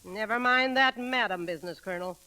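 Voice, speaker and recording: An actress playing a folksy middle-aged American Western matriarch in an old radio comedy, blunt, hearty and informal. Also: female voice, medium pitch, a little fast, sharp tone, lightly acted. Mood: hearty, welcoming. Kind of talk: 1930s-40s radio comedy acting. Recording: vintage radio recording with sizzling crackle.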